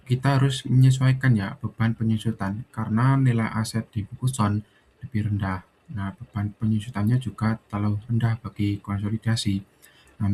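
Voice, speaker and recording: A man's voice: lowish voice, mellow, poor audio. A young man giving an explanatory student presentation, often adding little check-in tags. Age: young adult. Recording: faint background noise.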